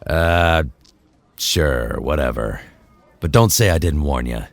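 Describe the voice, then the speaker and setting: A man playing a gruff, sardonic mutant tough guy in an audio drama, with gravelly, curt, deadpan delivery. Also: rumbling low, slow, no echo, exaggerated voice.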